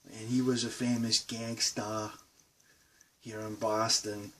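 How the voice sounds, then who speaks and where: A man imitating an out-of-town man who forces an exaggerated fake Boston accent, loud and drawn out. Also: lowish voice, flat delivery, slow, far from the mic, slight background noise, poor audio. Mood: mocking.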